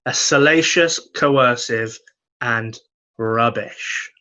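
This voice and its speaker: A man's voice: medium pitch, extremely slow, bright, forceful tone. A man co-hosting a comedy podcast, chatting casually and emphatically about his opinions, repeating words for effect.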